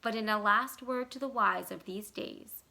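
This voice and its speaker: A woman reading a short story aloud as scripted narration, with expressive, deliberate and dramatic phrasing. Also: medium pitch, vocal fry. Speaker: library staff storyteller.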